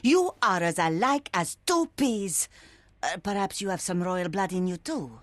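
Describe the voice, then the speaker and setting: An actress playing a fussy, excitable shopkeeper woman in a video game. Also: mid-range voice, measured pace, dry recording, close to an everyday voice.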